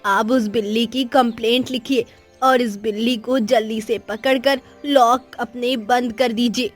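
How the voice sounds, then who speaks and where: A woman's voice: a little high, fairly even intonation, unhurried, soft background music. A woman voicing a cranky, scheming old village woman who whines and makes dramatic demands in a children's cartoon. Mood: demanding. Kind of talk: children's cartoon moral story acting.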